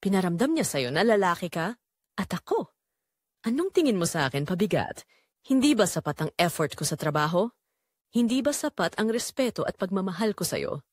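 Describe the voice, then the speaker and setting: A woman acts out a betrayed grown daughter in an audio drama. She is bitter and confrontational, throwing accusations with sharply swinging pitch. The recording is dry and free of echo. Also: very animated, moderate pace. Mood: hurt, angry. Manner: emotional, confrontational.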